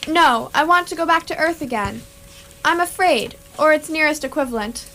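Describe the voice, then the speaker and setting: A woman's voice: high-pitched, animated, moderate pace, sharp tone, a little hiss or hum, heavily acted, rough recording. A woman playing a bewildered, politely plaintive stranded human traveller, hesitant and querulous, in amateur radio theatre dialogue. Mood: wry, plaintive.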